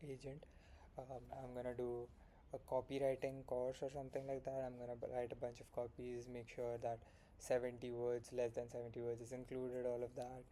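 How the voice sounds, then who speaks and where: A man's voice: medium pitch, mellow, slight background noise. A young man with an Indian accent talking through his day in a frank, rambling late-night vlog, full of fillers and self-corrections. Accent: Indian English. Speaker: solo founder vlogging his progress.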